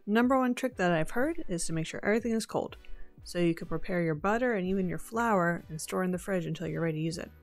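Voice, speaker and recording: A woman's voice: lowish voice, fast, soft background music. A young woman hosting a home baking video, narrating a recipe step by step with playful asides. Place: home kitchen.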